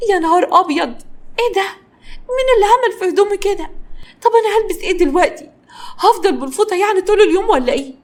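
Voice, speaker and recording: A woman voicing a scheming, melodramatic young wife who is theatrical, whiny and quick-tempered in an audio story. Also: sits high, animated, darkish tone. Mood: shocked, fuming. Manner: theatrical shrieking and fake crying.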